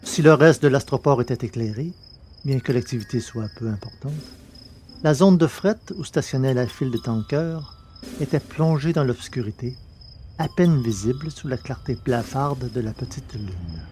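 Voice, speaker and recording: A man narrates a novel in a measured, deliberate way. His voice is mellow and dark-toned, set at an average pitch for a man. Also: a little hiss or hum. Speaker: audiobook reader. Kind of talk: scripted narration of a science-fiction novel.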